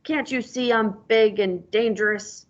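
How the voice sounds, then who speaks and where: A woman's voice: fairly high pitch, unhurried, close to an everyday voice. A woman voicing a hungry storybook wolf who wants to seem big and dangerous, on a dull lo-fi recording. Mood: indignant. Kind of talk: storybook reading.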